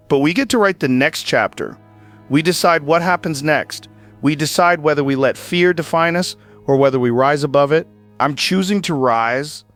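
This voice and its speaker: An actor playing an earnest late-night radio host who speaks in a reflective, measured way. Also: male voice, fairly high pitch, close to an everyday voice. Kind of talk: audio drama monologue.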